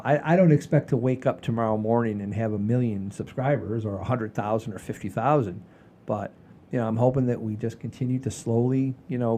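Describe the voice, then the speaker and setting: A man co-hosting a comedy show, chatting casually to the audience in a rambling way and often repeating his phrases. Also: dark tone. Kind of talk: casual chat, addressing the audience. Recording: low rumbling background noise.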